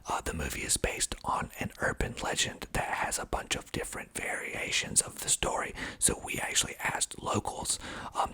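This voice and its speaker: A man speaking in a hushed, breathy, husky ASMR whisper, reading aloud and commenting in a deliberate way. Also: lowish voice. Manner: slow, deliberate, hushed delivery. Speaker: filmmaker reading reviews of his movie.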